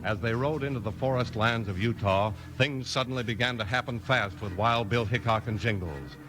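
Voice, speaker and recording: A man with an American accent and a husky voice, announcing and narrating in scripted 1950s radio style. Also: mid-range voice, moderate pace, music in the background, rough recording.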